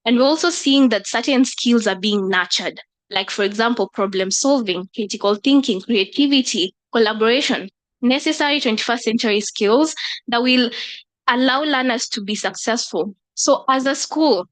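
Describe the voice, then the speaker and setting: A woman speaking as a teacher on a panel, measured and listing points. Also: fairly high pitch. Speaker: teacher or school leader. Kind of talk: panel discussion answer.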